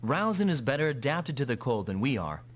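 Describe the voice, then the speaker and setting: Man acting level-headed mech pilot, expressive delivery. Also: medium pitch, brisk, a little hiss or hum, close to an everyday voice. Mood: calm, matter-of-fact. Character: male mech pilot, calm, level-headed, determined soldier. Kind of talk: anime-style English video game dub.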